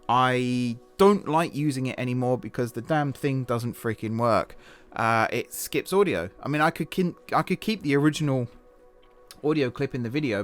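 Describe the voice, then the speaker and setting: Casual male game narrator, mid-range voice, a touch hoarse, self-correcting ramble, soft music bed. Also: medium pitch. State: slightly hoarse. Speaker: gaming channel host. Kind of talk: casual gameplay voice-over. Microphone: desktop voice-over mic.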